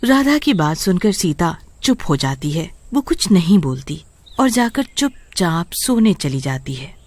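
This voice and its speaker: A woman's voice: fairly low pitch, very animated, measured pace, a little mellow. A woman storyteller narrating a scripted tale.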